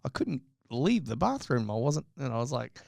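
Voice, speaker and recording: A man's voice: medium pitch, very animated, moderate pace, gravelly, darkish tone, dry recording, clean studio sound. A man co-hosting a podcast, telling stories in casual, sweary banter with a jokey manner. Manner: sweary, jokey, acts out quoted speech. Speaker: podcast co-host.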